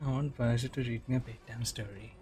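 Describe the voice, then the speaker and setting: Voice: mid-range voice, steady tone, a little fast, mellow. A gamer giving brief, casual, murmured reactions while playing through a story quest. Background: game music.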